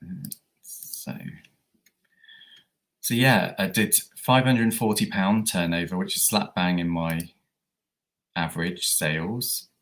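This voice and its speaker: A man with a croaky voice from a coming cold, chatting casually with many fillers as he hosts a reselling livestream. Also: low-pitched, steady tone, takes it slowly, pauses often, a bit bright. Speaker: eBay reseller livestream host.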